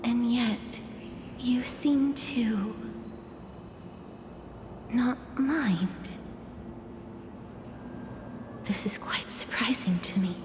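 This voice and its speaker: An actress playing a solemn, dutiful young woman in an anime game, speaking softly and breathily in formal, measured phrases, with music behind. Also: on the high side, noisy background. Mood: submissive, quiet. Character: soft-spoken, solemn, dutiful young woman with deathly power.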